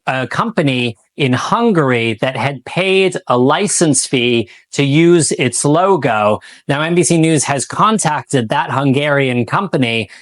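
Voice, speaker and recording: A man's voice: a little high, no room sound. A man working as a TV news reporter, delivering a broadcast news report.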